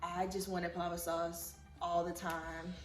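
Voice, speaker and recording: A woman's voice: fairly low pitch, little pitch movement, a little slow. A young woman from Liberia, congested, chatting casually and rambling with many fillers in a vlog. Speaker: vlogger. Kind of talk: casual pregnancy recap vlog. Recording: roomy echo, faint music.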